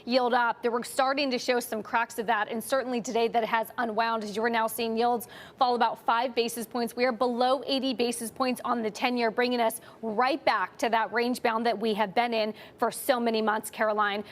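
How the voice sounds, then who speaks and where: Upbeat woman co-anchor, bright and high. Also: brisk. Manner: lively, upbeat explaining. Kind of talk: market news analysis.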